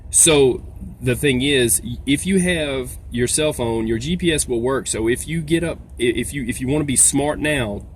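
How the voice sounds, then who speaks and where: A man's voice: medium pitch, normal speed. A man giving a casual, folksy explainer as a vlog host, talking in a rambling way. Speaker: video host, trucker. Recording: noisy, vehicle-like background sound.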